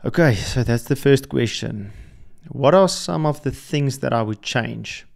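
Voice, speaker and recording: A man's voice: mid-range voice, a little slow, vocal fry. A young adult man whose first language is Afrikaans, hosting a podcast and reflecting alone in a hesitant way with many restarts. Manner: hesitant, with frequent restarts and fillers. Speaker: podcast host. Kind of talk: solo reflective monologue.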